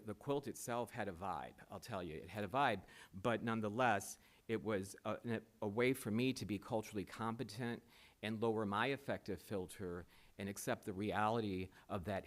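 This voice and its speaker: A man interviewing for a superintendent job, answering in an earnest, rambling way with many fillers and restarts. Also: fairly low pitch. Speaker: superintendent candidate. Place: school board meeting room.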